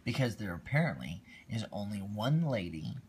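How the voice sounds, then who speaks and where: A man reading a bedtime story aloud to his child on a phone livestream, expressive with quiet asides. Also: fairly low pitch, moderate pace, a little hiss or hum.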